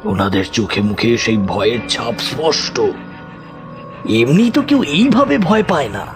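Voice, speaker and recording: An actor playing a deferential, superstitious village servant, humble and respectful, in a horror audio drama. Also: male voice, mid-range voice, very animated, unhurried. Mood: earnest, ominous. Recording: loud music over the voice.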